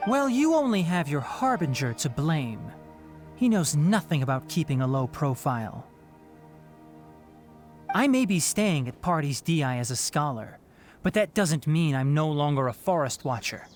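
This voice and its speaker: A firm young male forest ranger in a game drama. He sounds earnest and protective, with a higher male voice that moves animatedly over faint music. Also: sing-song, normal speed. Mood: firm defiance. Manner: earnest, assertive. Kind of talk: dramatic video game acting. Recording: music behind the voice.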